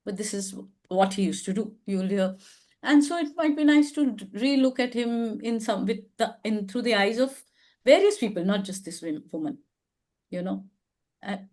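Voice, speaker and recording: A woman's voice: a little high, darkish tone. A woman hosting an advice chat on a video call, speaking reflectively and affirming others, often restarting her sentences. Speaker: advice show host.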